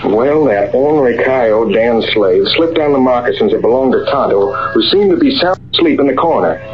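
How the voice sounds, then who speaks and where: A man's voice: medium pitch, lively intonation, moderate pace, faint music behind, close to an everyday voice. A man playing a folksy cowboy storyteller with a rural Western American accent, spinning a yarn on a muffled old radio western. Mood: folksy storytelling. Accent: rural American West. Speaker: storyteller narrator. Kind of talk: 1930s-40s radio western narration. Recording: muffled old radio broadcast, faint hiss.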